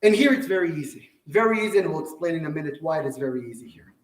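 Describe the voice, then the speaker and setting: A man's voice: on the high side, animated, rapid-fire. Earnest man teaching in an echoey hall. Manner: earnest, repeats and restarts phrases. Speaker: Torah teacher. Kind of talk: Torah lecture to a live audience. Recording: echoey room, mic at a distance.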